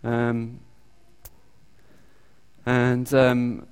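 A British man preaches in a church hall, and his voice rings with a long, echoey reverb. His pitch sits in the middle range for a man. He speaks haltingly and often pauses on ums as he searches for his words. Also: completely flat delivery, a little mellow, clean tone. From United Kingdom. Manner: halting, many ums and restarts. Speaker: lay preacher. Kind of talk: informal sermon with audience questions.

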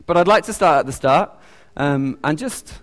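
A man with a British accent giving an informal sermon. He talks haltingly, with many ums and restarts. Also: a little high, animated. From United Kingdom. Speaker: lay preacher. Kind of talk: informal sermon with audience questions. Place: church hall. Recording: long echoey church reverb.

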